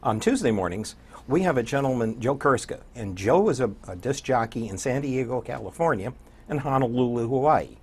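An older American man talks conversationally as a guest in an interview. His voice is husky and sits in a middle register, like a seasoned local radio DJ describing his work. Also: mid-range voice, lively intonation, fairly quick. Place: small indoor TV studio.

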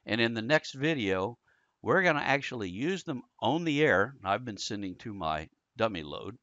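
A man giving a casual, conversational software tutorial, explaining things step by step as an instructor. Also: medium pitch, very animated, moderate pace, dry recording. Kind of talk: casual screen-share software tutorial narration. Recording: dull lo-fi close dry voice-over.

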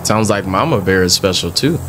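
A man's voice: low voice, expressive, a little fast, noisy background. Joking young male game streamer. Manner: joking, slangy, talks to characters. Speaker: gaming streamer. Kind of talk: casual let's-play commentary. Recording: voice over loud game music.

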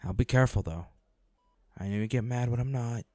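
A man playing a devoted boyfriend and weary, protective monster hunter in an audio roleplay, speaking earnestly in short, halting phrases. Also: mid-range voice, darkish tone, no room sound, clean studio sound, natural voice. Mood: tender, anxious.

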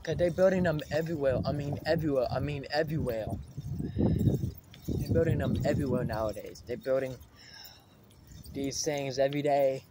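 A young American man rambling casually in a vlog, halting and repeating himself, recorded on a phone at arm's length outdoors. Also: medium pitch. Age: young adult. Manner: repetitive, halting, trailing off. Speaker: daily vlogger. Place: outdoors on a bike by streets. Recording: very noisy outdoor recording, wind and vehicles.

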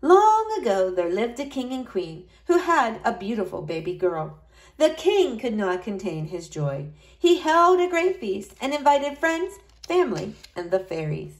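A woman's voice: fairly high pitch, big pitch swings, a little slow. A woman reading a storybook aloud to young children, expressively, in the manner of a school librarian.

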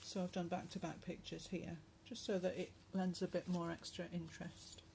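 Softly chatty woman, slightly hoarse. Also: on the low side, level tone, a little hiss or hum. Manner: soft, chatty, with many pauses and fillers. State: going hoarse, clearing her throat. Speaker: crafter showing a handmade journal. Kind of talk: casual craft flip-through narration. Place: indoors at a table at home. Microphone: phone camera above the table.

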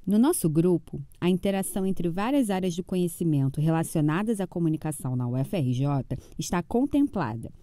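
A young woman reading a scripted presentation to camera in a steady, formal way. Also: lowish voice, brisk, hardly any pauses, dry recording, clean studio sound.

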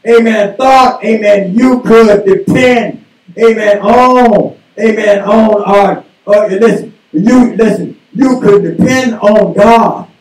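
A man preaching a sermon from a church pulpit, slowly working through his points and dropping "amen" after almost every phrase. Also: high-pitched, darkish tone. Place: small church sanctuary, indoors. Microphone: pulpit mic, filmed by a far camera. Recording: echoey room from far off.

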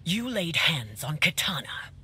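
A woman's voice: low-pitched, big pitch swings, measured pace, a little hiss or hum, exaggerated voice. A woman playing a cold, scheming sorceress queen in a fighting video game, haughty and commanding. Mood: cold, demanding. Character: imperious, scheming queen and sorceress, coldly regal. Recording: loud game ambience behind.

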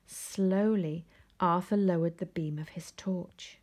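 A woman reading a children's picture book aloud in an expressive storytelling style. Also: on the low side, takes it slowly. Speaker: storybook reader. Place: indoors at home, seated.

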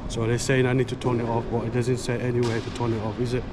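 Man vlogging over loud background noise. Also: mid-range voice, little pitch movement. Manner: halting, thinking aloud. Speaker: travel vlogger. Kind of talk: casual vlog commentary. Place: railway station hall and inside a train carriage. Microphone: action camera at arm's length. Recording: loud ambient station and train noise.

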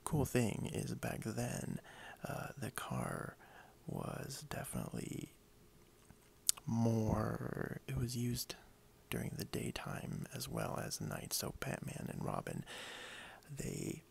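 A man speaking softly in a breathy half-whisper, hushed and friendly, role-playing a toy shop owner for ASMR. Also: medium pitch, wildly swooping pitch, slight background noise. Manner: hushed, unhurried, friendly, hesitant phrasing. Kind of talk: soft-spoken ASMR role play. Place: indoors at a wooden desk.

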